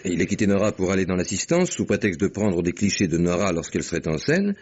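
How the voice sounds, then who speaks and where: An actor playing a shrewd, wry police commissioner in an old radio drama, measured and ironic. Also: male voice, low voice, very animated, darkish tone, breathy edge, exaggerated voice. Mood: reasoning. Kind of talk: 1950s French radio drama acting. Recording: dull old radio recording.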